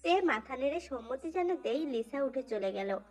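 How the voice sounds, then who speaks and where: A woman's voice: high-pitched, animated, moderate pace. A woman reading scripted story narration as the narrator. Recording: faint music under voice. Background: faint background music.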